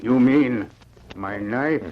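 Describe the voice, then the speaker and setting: A man acting a sinister, dim backwoods henchman in an old-time radio adventure, with a halting delivery. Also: mid-range voice. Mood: plotting. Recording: muffled old radio transcription.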